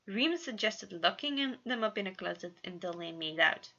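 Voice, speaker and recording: A woman narrates a piece of fan fiction in a bright, crisp-edged tone. She reads like an amateur, stumbling on words and fixing them as she goes. The home recording is slightly dull and lo-fi. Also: medium pitch, expressive, moderate pace. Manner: stumbles over words and corrects herself. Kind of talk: story reading with character voices.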